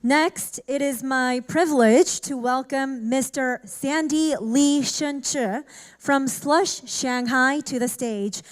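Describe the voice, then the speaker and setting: Slow formal woman emcee. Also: high-pitched. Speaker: event emcee. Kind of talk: formal stage announcement.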